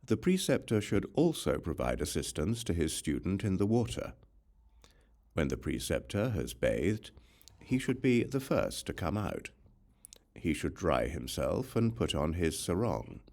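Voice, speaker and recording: A man reading a religious text as an audiobook narrator, in measured, instructional short phrases. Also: deep voice, sing-song, a little mellow.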